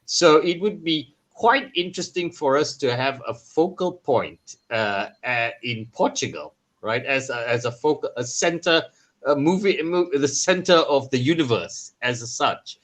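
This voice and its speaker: A man speaking in a measured, explanatory way as a panelist on an online discussion. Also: mid-range voice, animated, fairly bright tone.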